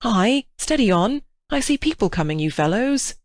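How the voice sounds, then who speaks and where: A woman's voice: mid-range voice, very animated, slow, vocal fry, no echo, studio quality, pitched above the performer's own voice, heavily acted. A woman reading aloud, voicing a mild, proper middle-aged clergyman who fusses and protests as things get out of hand. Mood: alarmed. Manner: fussy, protesting.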